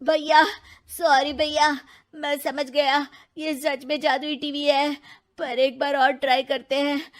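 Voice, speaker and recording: Woman as a high-voiced, jumpy younger brother. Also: level tone, takes it slowly. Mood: sheepish. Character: skeptical, easily frightened younger brother, adult man.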